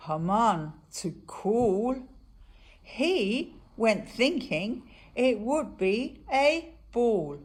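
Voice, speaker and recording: A woman narrating a rhymed children's puppet story in an expressive, deliberate manner. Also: mid-range voice.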